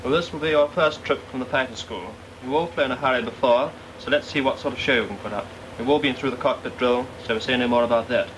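Man as a brisk wartime flight officer. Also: mid-range voice, background noise, lightly acted. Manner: brisk, matter-of-fact. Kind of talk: 1940s British film acting.

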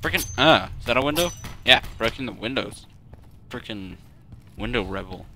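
A young man giving joking, excitable let's play commentary while playing a shooter game. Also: medium pitch, normal speed, background noise. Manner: goofy, joking, excitable outbursts. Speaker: gaming YouTuber.

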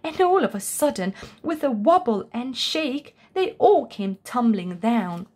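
A woman reading a children's picture book aloud as a storyteller, in an expressive, deliberate way. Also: fairly high pitch. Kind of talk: scripted children's story narration.

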